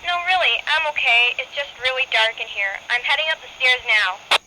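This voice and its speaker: A woman's voice: a little high, normal speed, pushed and bright. A young female performer playing a plucky girl explorer, heard over a walkie-talkie in a radio drama. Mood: nervous but brave. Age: child. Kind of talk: amateur radio drama acting. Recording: noisy crackly walkie-talkie sound.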